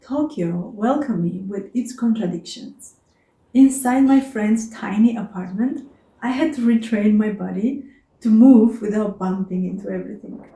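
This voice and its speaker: A woman whose first language is Romanian, narrating her travels in a soft, earnest, contemplative way. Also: medium pitch, unhurried, reverberant, rough recording. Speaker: travel vlogger.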